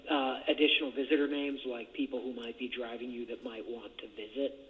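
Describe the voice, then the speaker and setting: A man's voice: medium pitch, level tone, brisk, a crowd in the background. A man chatting casually in a hesitant, rambling way over a jail phone line. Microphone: telephone handset. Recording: muffled, noisy jail phone call recording.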